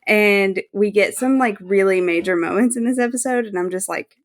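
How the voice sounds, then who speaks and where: A woman's voice: mid-range voice, moderate pace. A woman co-hosting a podcast in casual chat, often chuckling as she talks. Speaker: podcast co-host.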